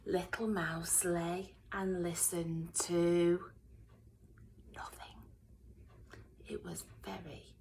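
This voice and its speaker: A woman reading a children's storybook aloud in a dramatic way, often dropping into hushed whispers. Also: lowish voice, creaky, slight background noise. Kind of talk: expressive children's storybook narration.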